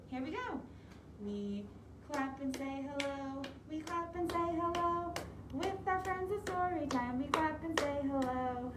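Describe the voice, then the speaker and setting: A young woman leading a preschool storytime, giving animated, simple instructions to small children, her voice slightly muffled by a face mask. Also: high-pitched. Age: young adult. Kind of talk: preschool storytime talk, songs and read-aloud. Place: indoor library room with large windows. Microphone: camera a few metres away. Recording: roomy, distant mic, clear background noise.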